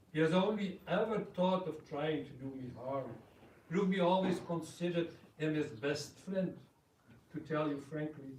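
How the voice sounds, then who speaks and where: Guy doing a kind godly king consoling his queen, tender n measured, a bit far from mic, faint noise. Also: fairly high pitch, a little mellow, natural voice. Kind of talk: amateur dramatic reading. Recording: roomy, distant recording, faint noise.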